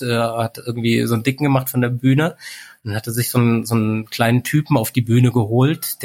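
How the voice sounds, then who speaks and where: A man in his forties telling stories in a relaxed, rambling way, full of fillers, as a guest in a casual chat. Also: medium pitch. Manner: relaxed storyteller, many fillers like "halt" and "irgendwie". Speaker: podcast guest.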